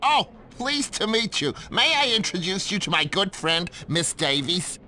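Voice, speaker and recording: A man's voice: high-pitched, sing-song, unhurried, pushed and bright, faint music behind, heavily acted. An actor playing a chatty, genial male socialite at an embassy party in a video game. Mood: sociable. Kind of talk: video game cutscene acting.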